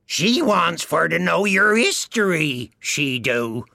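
A man voicing a gruff, impatient male Gryphon with a rough, ungrammatical way of talking. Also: a little high, very animated, slow pace, pushed and bright, no room sound, clean studio sound, voice pushed up, exaggerated voice. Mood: pompous. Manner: brusque, ungrammatical, bossy.

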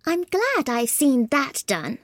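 A man's voice: very high-pitched, wildly swooping pitch, takes it slowly, no room sound, clean studio sound, voice pushed up, heavily acted. A man voicing a curious, thoughtful young girl from a storybook, musing to herself.

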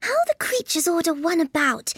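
A timid, curious little storybook girl. She sounds prim and wondering, extremely high, with a lively melody. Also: normal speed, no echo, studio quality, full character voice.

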